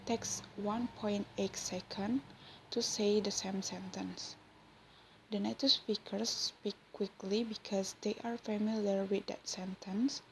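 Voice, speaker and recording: A young woman from Indonesia with an Indonesian accent, reading a class presentation in English with a careful, even delivery. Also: mid-range voice, a little hiss or hum, rough recording. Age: young adult. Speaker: student presenter.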